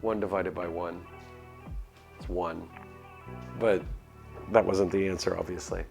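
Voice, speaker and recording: A man's voice: low voice, steady tone, unhurried, gravelly, music in the background. A man streaming a game live, reading a visual novel aloud and chatting casually in a hesitant, rambling way.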